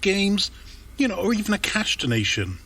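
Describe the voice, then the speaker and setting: Earnest, hesitant, husky-voiced resident. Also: male voice, high voice, big pitch swings, moderate pace, bright, forceful tone, heavily acted. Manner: hesitant, apologetic. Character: earnest, hesitant charity-minded male resident. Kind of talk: audio drama acting.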